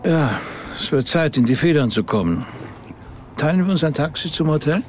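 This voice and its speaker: A man playing a thoughtful, discreet rabbi in a radio drama, reserved and conversational as he quietly probes. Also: on the high side, expressive, moderate pace, a little hiss or hum, lightly acted. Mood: reserved, probing.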